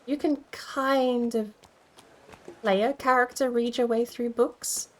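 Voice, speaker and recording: A woman's voice: fairly high pitch, fairly even intonation, takes it slowly. A woman streaming a game from home, rambling and thinking aloud as she plays. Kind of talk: casual gameplay commentary.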